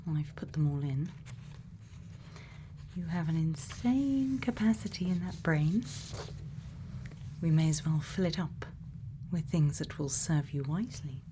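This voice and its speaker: Female ASMR performer, caring scientist role, very unhurried and soothing, soft half-whispered delivery, faint noise behind. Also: sits low, expressive. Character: sweet, caring scientist speaking to a robot friend. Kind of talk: soft-spoken ASMR roleplay, often whispered.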